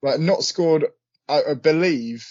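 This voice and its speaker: A man's voice: a little high, measured pace, darkish tone. A man giving casual football analysis on a podcast, hesitant with many ums, in a glum mood, over a call. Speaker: podcast co-host. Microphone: remote call microphone. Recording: muffled dry call audio.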